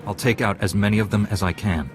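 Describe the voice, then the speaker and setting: Quick, low-voiced man, heroic knight acted. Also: steady tone, faint music behind, close to an everyday voice. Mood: calm, resolute. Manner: reassuring, matter-of-fact. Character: calm, confident young Jedi knight hero. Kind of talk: video game drama acting.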